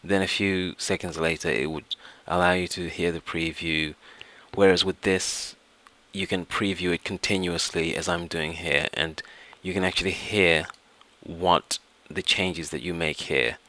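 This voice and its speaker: A man narrating a software tutorial, explaining step by step in an instructional way. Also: low-pitched, fairly even intonation, unhurried. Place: indoors, voice-over to screen recording.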